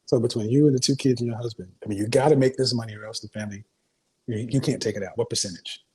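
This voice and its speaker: A man's voice: mid-range voice, fairly even intonation, fast, a little mellow, breathy edge. A man hosting a call-in advice show, questioning a caller bluntly and cutting in often. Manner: blunt, interrupting, interrogating. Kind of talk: call-in interview.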